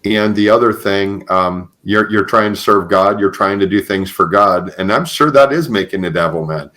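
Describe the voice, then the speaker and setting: Low-voiced man hosting a podcast. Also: normal speed, fairly bright tone. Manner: affirming, repeats phrases for emphasis. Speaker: podcast host. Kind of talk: conversational interview with religious encouragement.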